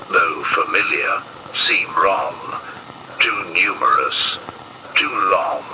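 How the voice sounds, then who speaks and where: A man performs a solemn emergency announcer in an analog horror broadcast. His voice is very deep and slow, with an ominous weight to the measured delivery. It comes through with clear noise, like a lo-fi radio warning. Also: bright, forceful tone, exaggerated voice.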